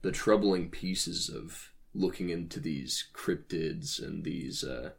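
A man co-hosting a casual podcast, talking about folklore in a halting, wry, dry-humoured way. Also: low-pitched, gravelly. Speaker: podcast co-host.

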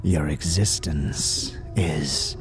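A man playing a frail, weary old scribe in a fantasy audio drama, speaking solemn archaic lines with a breathy, wheezing, whispery rasp. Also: a bass voice, exaggerated voice. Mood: grave. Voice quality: breathy, wheezing, rattling with whispered trailing phrases. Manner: slow, solemn, archaic formal speech. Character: frail, weary, ancient undead-order scribe, solemn and contemptuous. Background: music behind the voice.

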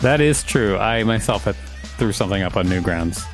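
A man's voice: lowish voice, lively intonation, normal speed, faint music behind. A man co-hosting a podcast, reading an encyclopedia article aloud with casual asides. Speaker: podcast co-host and reader.

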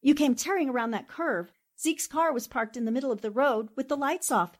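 A woman acting a plucky, quick-witted newspaperwoman in a 1940s-style radio drama, earnest and emphatic. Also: sits high. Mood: urgent, concerned.

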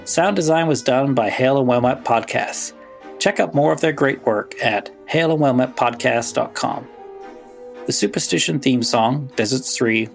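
A man's voice: medium pitch, vocal fry. A man reading scripted announcements and credits as a podcast host.

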